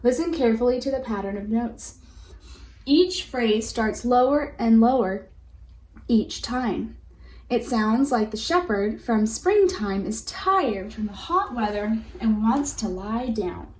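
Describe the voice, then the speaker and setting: A woman's voice: on the high side, expressive, takes it slowly, a little mellow. A woman teacher reading a children's picture book aloud in an instructive way, explaining as she goes. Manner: instructive, addresses listeners with questions and prompts. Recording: roomy echo with faint background noise.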